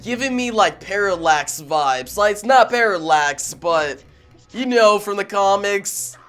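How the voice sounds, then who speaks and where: Unhurried, higher-pitched man reacting excitedly. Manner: exclamatory, slangy, emphatic. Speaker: reaction video host and reviewer. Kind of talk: casual excited reaction commentary.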